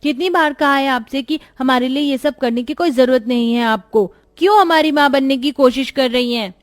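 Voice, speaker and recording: A resentful teenage girl in an animated story, voiced by a woman. She answers back curtly in a fairly high voice. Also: moderate pace, faint music behind, close to an everyday voice. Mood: defiant. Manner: curt, defiant.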